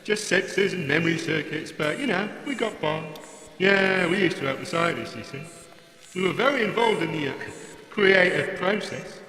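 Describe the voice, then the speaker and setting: A man's voice: high-pitched, expressive, normal speed, creaky, over music. A man voicing a grumbling, cheeky old working-class robot with a British accent, blokey and sarcastic, in a comic audio drama. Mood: wry, nostalgic. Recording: echoey hall, crowd noise behind.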